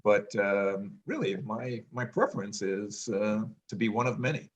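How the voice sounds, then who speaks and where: A middle-aged man musing in a casual family podcast chat, pausing often with ums and restarts and talking in a loud voice. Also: on the low side, muffled recording. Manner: hesitant, many ums and restarts, reflective; says he has a loud voice. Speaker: podcast co-host, parent.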